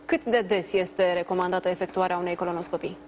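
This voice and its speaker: A woman's voice: lowish voice, fairly even intonation. A woman in her thirties presenting morning news and putting formal questions to a guest from a studio. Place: indoors in a TV studio. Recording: slightly dull sound, faint background noise.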